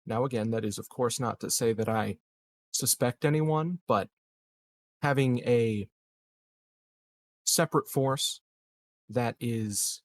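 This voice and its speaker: A man playing an evasive, scheming leader of a space colony in a tabletop roleplay, speaking in a hesitant, hedging, measured way. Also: medium pitch. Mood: guarded, persuasive. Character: smooth, evasive, scheming male colony leader in science fiction.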